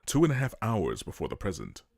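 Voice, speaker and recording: A man's voice: fairly low pitch, wildly swooping pitch, a little fast, vocal fry, darkish tone, slightly rough. A man narrating scene changes for an amateur script read in scripted narration.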